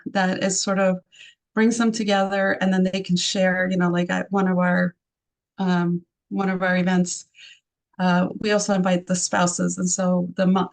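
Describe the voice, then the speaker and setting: A woman from Argentina, a native Spanish speaker with an Argentine accent, answering interview questions thoughtfully with many pauses and fillers. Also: fairly low pitch. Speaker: arts program founder.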